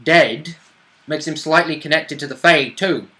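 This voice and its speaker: A man's voice: a little high, a little slow, bright, forceful tone, rough recording. A man playing a tabletop roleplaying game, chatting casually and informally with mild swearing.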